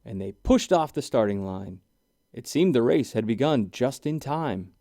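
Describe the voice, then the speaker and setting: A man reading a rhyming children's storybook aloud in an expressive, deliberate way. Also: mid-range voice, no echo, clean studio sound. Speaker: children's book reader. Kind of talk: animated scripted read-aloud of a rhyming storybook.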